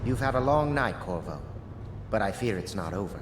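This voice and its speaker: A man playing a jaded, world-weary conspirator in a video game, measured and grave. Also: lowish voice, animated, close to an everyday voice. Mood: grave, matter-of-fact. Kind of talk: video game character dialogue. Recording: echoey room, clear background noise.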